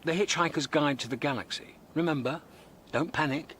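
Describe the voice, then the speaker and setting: A man acting an exasperated, excitable galactic traveller and guidebook researcher in a radio comedy drama, wry and impatient. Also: on the high side, normal speed, slight background noise, lightly acted.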